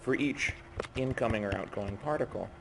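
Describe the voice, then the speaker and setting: A man giving a physics lecture while losing his voice, speaking haltingly and often breaking off mid-phrase. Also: mid-range voice, gravelly. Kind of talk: university lecture at a board. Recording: noisy classroom recording.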